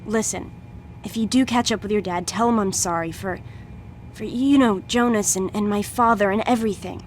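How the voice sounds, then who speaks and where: A woman's voice: medium pitch, normal speed, slight background noise, close to an everyday voice. An actress playing a loyal, earnest young woman in a video game, speaking in a heartfelt, urgent dramatic style. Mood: wistful, resigned.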